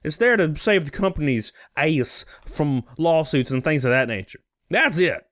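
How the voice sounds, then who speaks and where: Animated, higher-pitched man commentating. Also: normal speed, dry recording, clean studio sound. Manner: sarcastic, blunt. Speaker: streamer reacting to a recorded conference call. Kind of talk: casual commentary.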